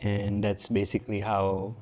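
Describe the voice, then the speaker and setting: A Tanzanian man, a founder and guest, talking casually in an interview, relaxed and full of fillers and restarts. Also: fairly low pitch, moderate pace, soft background music.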